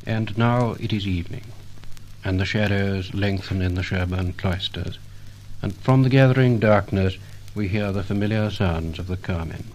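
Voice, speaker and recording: A British man narrating a scripted 1950 commemorative record in a solemn, measured, literary manner. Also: fairly low pitch, steady tone, a little mellow, slight background noise, rough recording.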